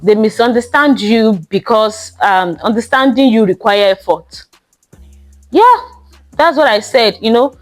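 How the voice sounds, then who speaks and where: Loud, emphatic woman host. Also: fairly high pitch, lively intonation, faint music behind. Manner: emphatic, conversational, drawn-out stressed words. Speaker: podcast host. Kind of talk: casual monologue podcast.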